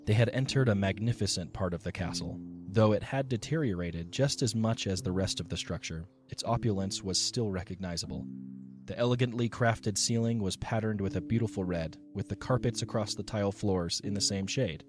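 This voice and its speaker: A man narrating a fan audio drama in an even, descriptive reading. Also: lowish voice, fairly quick. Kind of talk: scripted storybook narration. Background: music.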